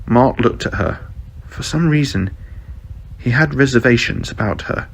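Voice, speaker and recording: A man narrates a scripted fan fiction tale at an unhurried, slow pace. His voice sits at an average pitch, and he moves between narration and character dialogue as a podcast storyteller. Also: animated, creaky, slight background noise, poor audio.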